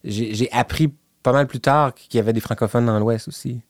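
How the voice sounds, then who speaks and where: A man's voice: medium pitch, steady tone, fairly quick, no echo. Quebec french guy chatting, lots of restarts and stumbles, dry close sound. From Quebec City region. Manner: hesitant, many restarts, laughs while telling stories. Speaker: interviewee. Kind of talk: casual chat.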